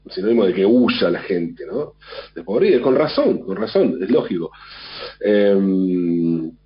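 A radio host, sick at home with a mild flu and cough, musing in a thoughtful, rambling way with many restarts. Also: male voice, fairly low pitch. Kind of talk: reflective monologue. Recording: dull lo-fi sound.

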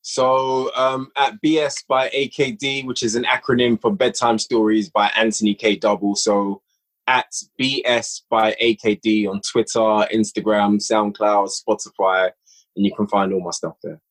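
A man guesting on a podcast, chatting casually with a relaxed, encouraging, filler-heavy manner. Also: on the low side, moderate pace, low-quality recording. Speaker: podcast guest, audio drama maker. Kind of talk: casual chat.

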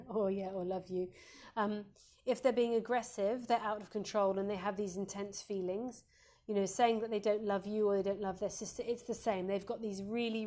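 A woman with a warm, mellow, slightly croaky voice hosts a live parenting Q&A. She speaks gently and reassuringly as a Montessori educator, often pausing on "um". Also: medium pitch. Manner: gentle, reassuring, with frequent ums.

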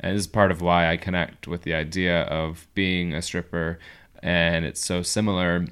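A man hosting a casual interview, rambling and restarting his sentences with quick laughs. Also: low-pitched, flat delivery, fairly bright tone, clear, resonant tone. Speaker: podcast host and comedian.

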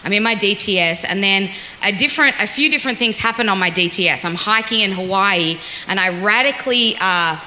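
A woman's voice: medium pitch, a little fast, pushed and bright. A woman in her forties with an Australian accent, chattily sharing her testimony in church. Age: 40s. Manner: chatty and self-deprecating. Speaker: guest speaker. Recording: hissy echoey church hall.

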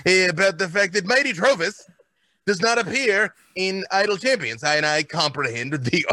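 A man's voice: fairly high pitch, sharp tone, no echo. A man speaking as a boastful, grandiose fantasy hero, with pompous, formal diction in improvised banter. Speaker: talk show panellist performing in character. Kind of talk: in-character improvised banter about a fantasy game.

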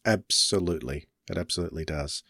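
A man's voice: low voice, fast, sharp tone, no room sound, clean studio sound. A man hosting a podcast in a casual, rambling, informal way. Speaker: podcast host. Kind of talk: casual chat.

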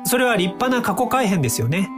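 An actor in an audio drama playing an earnest, polite young time-traveling inventor who explains things courteously. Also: male voice, sits high, sing-song, a little fast, over music. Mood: calm, explanatory.

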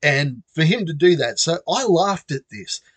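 A man's voice: fairly high pitch, very animated, normal speed, fairly bright tone, no echo. A middle-aged Australian man casually telling a personal story, chatty and self-amused. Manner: chatty, self-amused, acts out quoted voices.

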